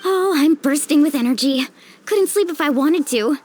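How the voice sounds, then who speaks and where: A woman's voice: sits high, expressive, normal speed, a little mellow, slight background noise. A woman playing a confident, friendly, teasing young athlete in a video game, breezy and encouraging.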